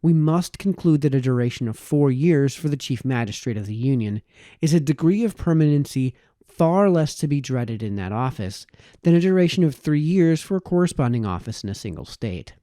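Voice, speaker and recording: Mellow-voiced man reading with lively intonation. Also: mid-range voice, brisk, no echo, studio quality. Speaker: audiobook reader. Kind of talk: scripted narration, reading a formal historical political text aloud.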